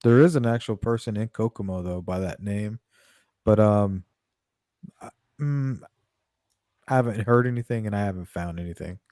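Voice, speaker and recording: A woman's voice: extremely low pitch, moderate pace, long pauses, dark tone, dry recording, studio quality. A woman hosting a casual livestream chat, thinking aloud as she goes. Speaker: host.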